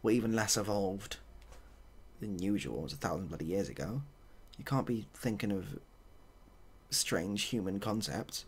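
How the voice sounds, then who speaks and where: A man playing a sceptical, blunt male seagull in a storybook tale, with a deadpan manner. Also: on the low side, moderate pace. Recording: clearly audible background noise.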